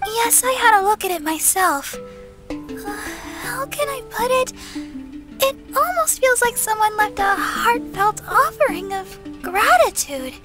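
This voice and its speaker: A woman voicing a gentle, inquisitive, girlish companion in a video game. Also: very high voice, expressive, measured pace, over loud music. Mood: hesitant.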